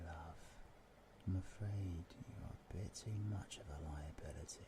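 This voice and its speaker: A British man playing a cocky, menacing supervillain in an audio roleplay, weary and flirtatiously taunting, often dropping into breathy murmurs. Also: low-pitched, background noise. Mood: quiet menace. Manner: slow, teasing, theatrical, with pet names. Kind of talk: audio roleplay acting, villain ASMR.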